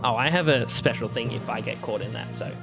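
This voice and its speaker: A man's voice: mid-range voice, fairly quick. A man talking casually as a player at a tabletop game, explaining rules in an everyday conversational way. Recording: music playing behind the voice. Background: music.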